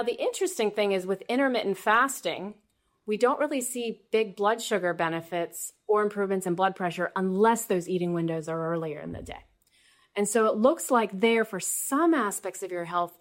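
A woman with a mid-pitched voice speaks as an expert guest. She explains research in the manner of an interview answer.

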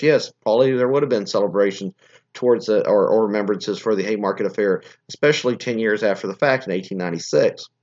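Guy doing a political podcast, voice all scratchy and hoarse, rambles and restarts a lot, kinda muffled audio. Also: medium pitch. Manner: opinionated, rambling with frequent restarts. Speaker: podcast host. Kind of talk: political commentary monologue.

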